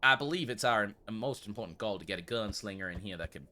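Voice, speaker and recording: A man's voice: lowish voice, expressive, quick pace, sharp tone, a little hiss or hum, voice pushed down. A man playing a fussy frontier gun shop owner in gameplay commentary, with a put-on American Old West accent and wordy, mock-formal courtesy. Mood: determined. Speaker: gaming video creator.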